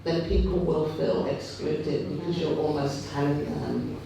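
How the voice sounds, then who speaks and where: Male union speaker, big loud voice, impassioned and repetitive, heard far off in a very echoey hall with faint noise. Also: on the high side, a little slow, gravelly. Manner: impassioned, repetitive rhetorical buildup. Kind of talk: rally speech at a union fringe meeting. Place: meeting hall. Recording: very echoey hall, picked up from afar.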